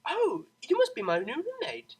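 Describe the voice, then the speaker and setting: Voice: high voice, huge pitch swings, moderate pace, voice pushed up. A performer voicing a refined, awkwardly polite and impatient young mare in an audiobook. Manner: polite, prim, exasperated under courtesy. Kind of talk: audiobook character voice.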